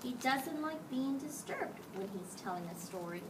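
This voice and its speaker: A woman's voice: sits high, lively intonation, normal speed. A woman reading a picture book aloud to children as a teacher, expressively and acting out the dialogue. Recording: distant mic, clearly noisy background.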